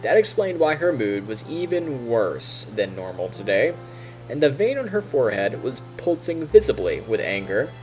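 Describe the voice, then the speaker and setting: A man, a streamer reading a visual novel aloud in an expressive storytelling style with casual asides. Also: mid-range voice, moderate pace, soft background music, low-quality recording.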